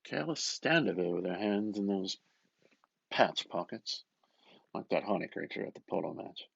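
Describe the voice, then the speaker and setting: A man reading a novel aloud with expressive, dramatic narration, musing and often dropping into a hushed murmur. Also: deep voice, normal speed, gravelly, husky, no echo, lo-fi sound. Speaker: reader. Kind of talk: expressive literary audiobook narration.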